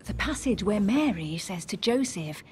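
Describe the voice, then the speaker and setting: Actress as cool, sardonic aristocratic woman, lively intonation. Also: medium pitch, normal speed, slight background noise, close to an everyday voice. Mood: dry, skeptical. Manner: nonchalant, dry, sardonic. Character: cool, skeptical, learned young aristocratic woman. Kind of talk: video game cutscene acting.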